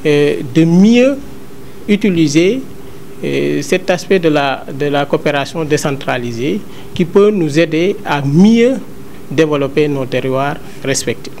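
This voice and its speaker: A man's voice: fairly high pitch, lively intonation, unhurried, creaky, a little mellow, slight background noise. A man with a Senegalese French accent giving a formal statement as a local official, in official phrasing.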